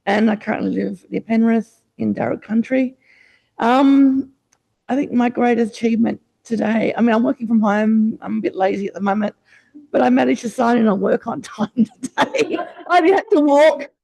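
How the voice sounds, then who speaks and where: Gravelly woman, joking. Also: fairly high pitch, normal speed, warm tone, poor audio. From Australia. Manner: self-mocking, laughs. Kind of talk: casual self-introduction.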